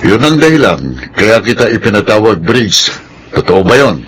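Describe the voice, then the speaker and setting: An actor playing a stern, composed middle-aged company boss with an authoritative, admonishing manner in a Filipino radio drama. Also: male voice, on the low side, lively intonation, moderate pace, over loud music, lightly acted. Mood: stern, measured.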